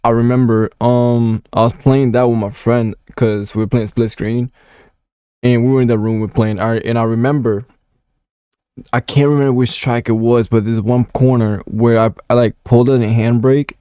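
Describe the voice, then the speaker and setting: A man's voice: medium pitch, flat delivery, moderate pace, mellow, clear, resonant tone, dry recording. A nineteen-year-old man from Puerto Rico, rambling through a casual gaming vlog story with lots of swearing and fillers. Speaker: gaming content creator.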